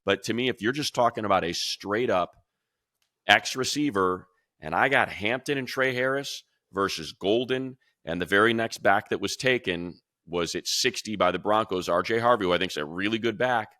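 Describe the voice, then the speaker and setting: A man talking football in a casual sports podcast chat from a room at home, rambling with restarts and growing animated when he compares players. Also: lowish voice, normal speed, dry recording, clean studio sound. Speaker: radio play-by-play announcer.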